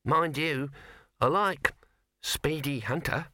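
A man's voice: fairly high pitch, slow, bright, forceful tone, no echo, studio quality, voice pushed up. A man voicing a small, cocky male mouse who talks brash and demanding.

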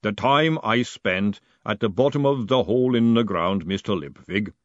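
A man voicing a huge, placid clay golem who speaks slowly and deliberately in a deep rumble. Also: no room sound, natural voice. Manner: slow, deep, rumbling, measured and literal. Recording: dull lo-fi recording.

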